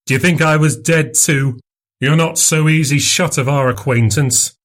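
A man's voice: fairly high pitch, moderate pace, a bit bright, voice pushed up, lightly acted. A man reading aloud and voicing a shabby, bitter drunkard who scornfully refuses help. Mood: bitter, defiant. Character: bitter shabby middle-aged male drunkard, defiant.

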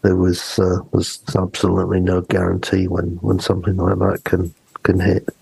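Male podcast co-host, gravelly and husky, conversational, close dry sound. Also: low voice, completely flat delivery, normal speed, a little mellow, dry recording, rough recording. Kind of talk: casual podcast discussion.

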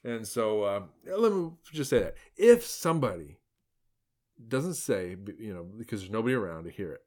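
A man's voice: on the low side, very animated, normal speed, a little mellow. Sarcastic, rambling male podcaster. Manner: rambling and sarcastic, many fillers. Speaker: podcast host. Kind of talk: opinion podcast commentary.

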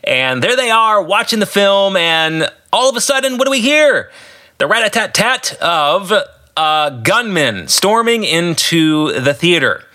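An American man with a bright, fairly high voice talks as a podcast host. He walks through a story with colloquial asides and emphatic phrasing, his pitch swinging in a lively way. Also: unhurried. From United States. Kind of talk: podcast commentary recapping his own story.

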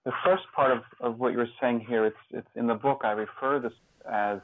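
A man speaking as an interview guest, explaining his ideas in a reflective, earnest way, often halting and repeating words. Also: mid-range voice, steady tone, dry recording. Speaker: interview guest and author on caregiving. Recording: slightly phone-like, band-limited line.

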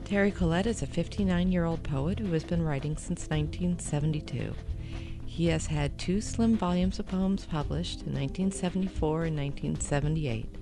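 A woman's voice: low voice, moderate pace. A woman reading scripted announcements as a podcast announcer. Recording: music behind the voice. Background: music.

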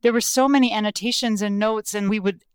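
An animated woman author tells stories in a relaxed interview, laughing often and jumping into quoted voices. Her tone is smooth and clean, recorded close and dry with no room sound. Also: mid-range voice, fast talker, no room sound. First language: Lithuanian. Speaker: guest author. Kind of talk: casual interview conversation.

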